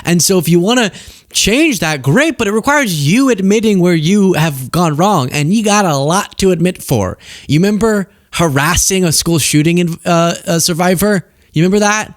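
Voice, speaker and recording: An American man with a fairly high voice, recorded dry and close. He talks like a commentary host reacting to clips, casual and conversational, with sarcasm and open disbelief. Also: lively intonation, moderate pace, dry recording. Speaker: political commentary show host.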